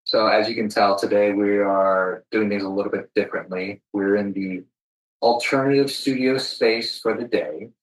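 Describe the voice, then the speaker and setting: A man's voice: fairly low pitch, fairly even intonation, moderate pace. An American man hosting an informal podcast conversation in a self-deprecating, jokey way. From Virginia, United States. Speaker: podcast host. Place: alternative studio space, indoors. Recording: echoey room.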